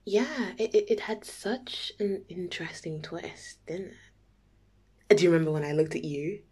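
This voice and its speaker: A woman playing a playful, nervous young date in an intimate ASMR roleplay, soft and halting, often near a whisper. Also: on the low side, breathy edge. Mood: playful, giggly. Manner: halting, giggly, teasing.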